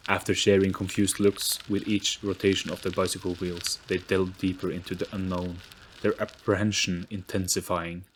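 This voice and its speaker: A man's voice: low voice, little pitch movement, normal speed, slight background noise, poor audio. A man giving a scripted, dramatic narration of an audio story.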